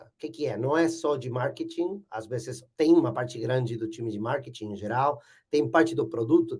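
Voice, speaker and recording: A man's voice: mid-range voice, darkish tone. Argentine man speaking careful Portuguese. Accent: Argentine Spanish. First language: Spanish. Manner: hesitant, fills pauses with "eh". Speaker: guest. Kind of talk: casual interview talk.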